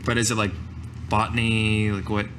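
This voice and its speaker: Male tabletop player: slightly low voice, conversational and hesitant, faint background noise. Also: flat delivery, normal speed. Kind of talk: improvised in-character roleplay in own voice.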